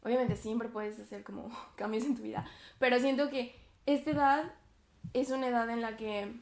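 Brief: twenties woman, casual podcast host, high bright pitch, talks in a roomy space. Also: steady tone, moderate pace. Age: 20s. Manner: chatty, many fillers and self-conscious laughs. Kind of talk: reflective casual monologue.

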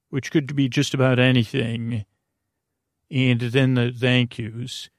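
Male podcast host for sleep show: creaky voice, dry close sound, meandering and digressive delivery. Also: mid-range voice, no room sound. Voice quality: creaky, gravelly. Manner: meandering, digressive, halting, circling back on itself. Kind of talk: rambling bedtime story podcast monologue.

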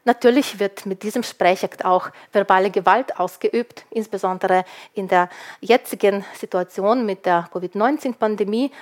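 Woman giving a measured lecture. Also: medium pitch. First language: Ukrainian. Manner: measured and explanatory, with occasional hesitation fillers. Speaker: linguist presenting survey research. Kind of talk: prepared lecture talk.

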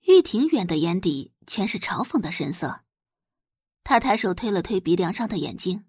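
A woman's voice: mid-range voice, sing-song, moderate pace, darkish tone, husky, no echo, studio quality. A woman narrator reading a romance novel expressively for an audio drama, as scripted storytelling.

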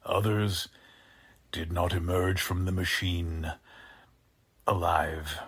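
A megalomaniac mad scientist from an old radio play. His voice is low and very husky, and he draws his words out slowly with cold, formal menace. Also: fairly even intonation, fairly bright tone, full character voice. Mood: coldly matter-of-fact. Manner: slow, deliberate, menacingly formal. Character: deranged, coldly polite male mad scientist, megalomaniac. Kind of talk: 1940s radio drama acting.

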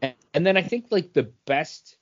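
A man's voice: fairly high pitch, expressive, moderate pace. A man co-hosting a podcast, chatting casually through a comic book review in a rambling way that repeats phrases as he thinks. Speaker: podcast co-host. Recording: dull lo-fi podcast audio.

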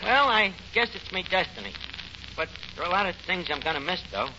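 A grandiose, self-pitying barkeep with a New York accent and a high-pitched, animated delivery. He talks like a lowbrow wiseguy making melodramatic speeches in a 1940s radio comedy. The recording is dull and heavily noisy. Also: a little fast. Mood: grandly self-pitying. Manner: melodramatic, malaprop-prone, pompous.

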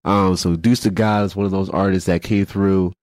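A congested man hosting a casual podcast chat, halting, repeating words and filling gaps with fillers. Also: low voice, fairly even intonation, a little mellow, no room sound, studio quality. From Philadelphia. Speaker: podcast host. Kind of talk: casual radio-style chat.

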